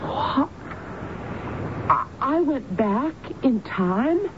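A woman's voice: sits high, big pitch swings, extremely slow, full character voice. An actress playing a bewildered, guarded married woman patient in 1970s radio drama style, hesitant, puzzled and questioning. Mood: anxious, questioning. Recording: dull noisy old radio broadcast recording.

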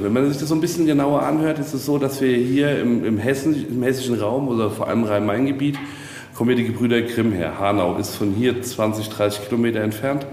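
Deep-voiced man in an echoing space. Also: darkish tone. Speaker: castle tour guide. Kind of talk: informal guided tour storytelling. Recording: very echoey, reverberant, noticeable background noise.

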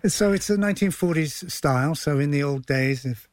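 A man's voice: a little high, moderate pace, no room sound. A man speaking as a theatre director and studio guest, explaining things conversationally like a storyteller. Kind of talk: conversational interview.

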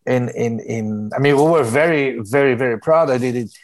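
Middle-aged guy raised in spain, english, lively chatty music producer, keeps repeating words. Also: mid-range voice, moderate pace. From born in Belgium, raised in Spain. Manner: animated, laughing, repeats words. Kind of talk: casual interview chat.

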